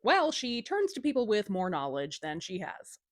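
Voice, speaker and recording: Woman storyteller, highly animated intonation, casual retelling, cheeky asides, dry close recording. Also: normal speed, no echo. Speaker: storyteller, host. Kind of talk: casual scripted folktale retelling.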